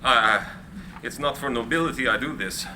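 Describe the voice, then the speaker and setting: An actor playing a shy, high-minded inventor in a script reading, speaking formally with halting stammers. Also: male voice, sharp tone, low-quality recording. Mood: awkward, modest. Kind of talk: live screenplay reading. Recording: live room recording with faint noise.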